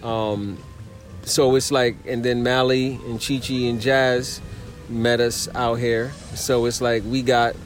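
A man talks things through in an easygoing conversation, earnest and reflective. His voice sits at an ordinary middle pitch, and faint music plays somewhere behind him. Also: level tone, takes it slowly. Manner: earnest, searching for words. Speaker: traveler in conversation.

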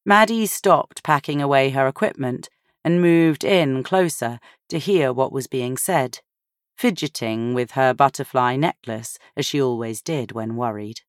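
Female narrator, low voice, unhurried pace, dry close sound, scripted fiction. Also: no echo. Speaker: audiobook narrator.

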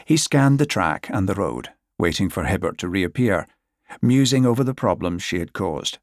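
A man's voice: low voice, expressive, normal speed, no echo, studio quality. A man reading a novel aloud as scripted audiobook narration.

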